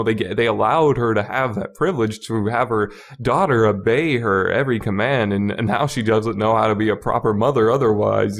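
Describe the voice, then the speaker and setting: A man hosting a review podcast in a casual, opinionated style, rambling emphatically and repeating his words as he argues his points. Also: fairly low pitch. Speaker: podcast host reviewing a visual novel.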